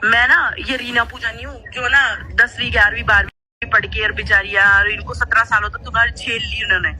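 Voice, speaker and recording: Sharp-voiced defiant young woman, recorded call. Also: on the high side, fairly even intonation, brisk, low-quality recording. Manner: defiant, scolding, repetitive. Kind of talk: heated personal argument.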